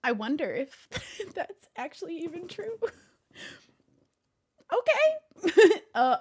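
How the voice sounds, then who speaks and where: A woman streamer chats casually while reacting to a video, laughing easily and often. Her voice sits high and swoops up and down. The talk is loose, off-the-cuff commentary. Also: slow pace. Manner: giggly, animated, talks to a pet.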